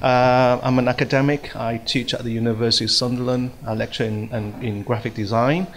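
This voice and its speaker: A man's voice: mid-range voice, flat delivery, a little fast, a little hiss or hum. A middle-aged man from Hong Kong giving a hesitant talk at a symposium, with many fillers and restarts, into a stand microphone in a large hall. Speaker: speaker at a symposium. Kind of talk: conference talk.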